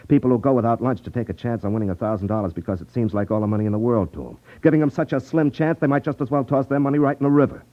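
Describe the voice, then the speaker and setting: An actor playing a cool, sardonic private detective with a wry manner in a 1950s radio drama. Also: male voice, mid-range voice, racing, few pauses, close to an everyday voice. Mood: scornful. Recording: dull 1950s radio recording.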